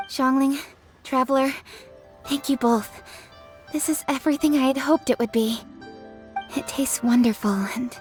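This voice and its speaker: A woman's voice: sits high, darkish tone. A woman voicing an earnest, thoughtful young noblewoman official in a game, formal and articulate. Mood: grateful. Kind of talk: scripted game voice acting.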